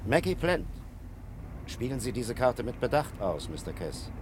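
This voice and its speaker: An actor playing a stubborn, dutiful police captain in a vintage radio crime drama, speaking formally and deliberately. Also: male voice, mid-range voice, big pitch swings, very noisy. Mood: stern, measured.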